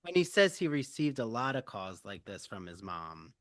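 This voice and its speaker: A man's voice: lowish voice, sing-song, moderate pace. A man hosting a podcast, chatting casually in a sympathetic, conversational way. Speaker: podcast host. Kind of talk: casual discussion.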